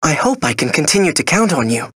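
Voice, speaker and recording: Casting: an earnest young prince groom for a game, formal and modest, lively intonation, close dry recording. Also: female voice, sits low, quick pace, breathy edge, no echo, exaggerated voice. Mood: hopeful. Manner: courteous, formal. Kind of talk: video game character acting.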